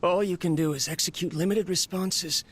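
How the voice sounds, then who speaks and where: An actor playing a weary, lonely inventor, reflective and earnest, in a dramatic video game scene. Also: male voice, high voice, steady tone, moderate pace. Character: weary, lonely male inventor talking to his robot.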